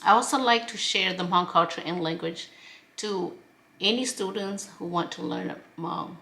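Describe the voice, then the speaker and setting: A woman teacher who was born in Laos introduces herself at a slow, careful pace. Her voice is bright with a gravelly fry. The delivery is plain and friendly. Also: on the low side, slight background noise. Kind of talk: self-introduction.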